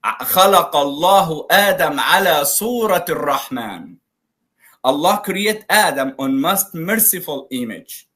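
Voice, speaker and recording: A man whose first language is Arabic, speaking broken English on a video call, explaining word meanings slowly through examples in a live debate. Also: on the high side, lively intonation, sharp tone. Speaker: debate guest.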